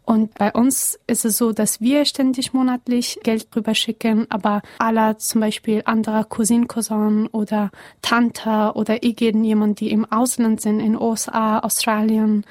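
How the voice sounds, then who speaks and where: A young woman from Afghanistan answering interview questions earnestly in halting, German, with many pauses and fillers. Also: on the high side, little pitch movement, darkish tone, no room sound, clean studio sound.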